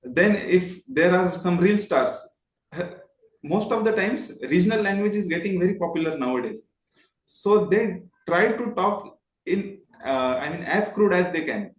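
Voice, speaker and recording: A man's voice: high voice, expressive, unhurried. A man with an Indian accent explaining things in an interview, politely and expressively, with illustrative examples. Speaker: guest, voice modulation trainer. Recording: roomy, echoing sound.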